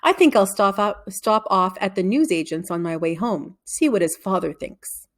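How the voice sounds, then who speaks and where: Woman reader as a serious lady teacher in a storybook, measured, determined. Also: mid-range voice, animated. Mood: thoughtful. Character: determined, thoughtful woman schoolteacher.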